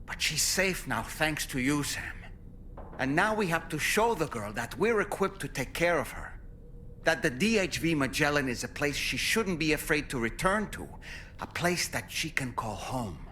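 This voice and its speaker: A man playing an earnest, caring crew ally who speaks with expressive admiration in a video game cutscene. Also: on the high side, normal speed, a little hiss or hum. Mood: relieved praise. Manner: warmly praising, expressive.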